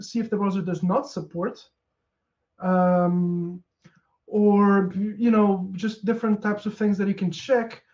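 A Portuguese man in his thirties gives a relaxed technical talk from a small room at home, speaking into a headset and filling gaps with ums and uhs. His voice is mellow and warm. The sound is dull and lo-fi, like audio from a video call. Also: high-pitched. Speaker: conference speaker.

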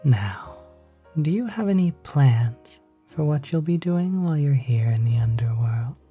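An ASMR performer of unclear sex playing a courteous demon hotel concierge, speaking softly and politely, close to the microphone, often near a whisper. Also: mid-range voice, expressive, muffled recording, soft background music, lightly acted. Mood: courteous, soothing. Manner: polite, unhurried, gently salesmanlike. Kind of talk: ASMR roleplay.

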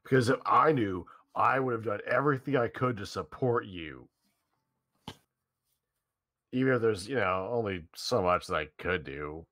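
A man voicing an earnest, concerned young friend who feels hurt, in a halting, dramatic staged reading of a visual novel. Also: lowish voice, pushed and bright.

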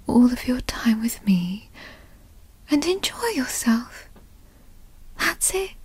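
Woman doing a clingy doting girlfriend asmr, breathy whispering, cooing and coaxing, super slow, close dry mic. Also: fairly high pitch, a little mellow, no room sound, heavily acted. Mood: soothing, coaxing. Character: possessive, doting, teasing yandere girlfriend playing mommy. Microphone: close studio mic.

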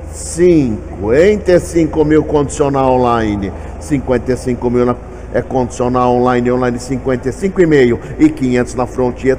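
A man with a Brazilian Portuguese accent working as an auctioneer, calling out bids for vehicles in a fast, repetitive chant. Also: mid-range voice, a little mellow, slight background noise.

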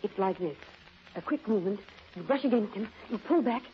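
A woman's voice: medium pitch, animated, brisk, close to an everyday voice. Dull, faintly noisy 1930s radio sound carries a woman playing a worldly, flirtatious secretary. She is condescending and theatrical, and her melody swings widely as she teases. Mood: sultry, teasing. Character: sly, worldly, flirtatious female former secretary. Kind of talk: 1930s radio drama acting. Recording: muffled, noisy old 1930s radio recording.

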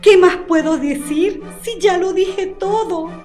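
A woman's voice: very high-pitched, slow, darkish tone. A woman playing a frightened, earnest witness in a radio courtroom drama, nervous and deferential. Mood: nervous, awed. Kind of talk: dramatic radio play acting. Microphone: studio microphone. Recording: roomy sound with music behind.

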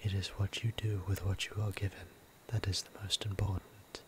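A man playing a gentle, courteous vampire priest in an intimate ASMR roleplay, speaking formally and tenderly in a hushed whisper with deliberate pauses. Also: low voice, flat delivery, natural voice. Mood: courteous, inviting. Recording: clear ambient background noise.

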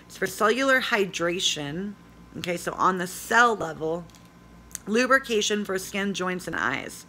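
A hoarse woman in her forties chatting casually in a home vlog, reading product labels aloud and rambling with fillers. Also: medium pitch, lively intonation, unhurried, sharp tone, slight background noise. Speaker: vlogger. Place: small indoor room at home. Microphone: phone camera at arm's length.

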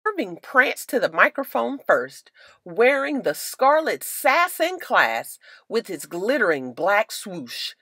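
A woman narrating a children's book aloud in an expressive, carefully enunciated storytelling style. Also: mid-range voice, slow pace, husky, no echo.

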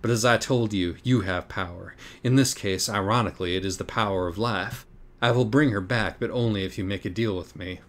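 A man's voice: on the low side, a little fast, lightly acted. A man voicing a grandiose, taunting demonic cat in an audiobook, with pompous, theatrical delivery. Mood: grandiose menace.

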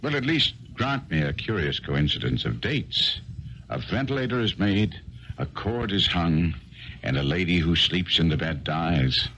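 A shrewd detective in an old radio play, very low in pitch with wide, animated swings. He gives precise, grave instructions with full authority. The recording is muffled. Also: unhurried, slight background noise, exaggerated voice. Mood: probing, grave. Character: commanding, shrewd male detective, grave and self-assured. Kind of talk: 1970s radio drama acting.

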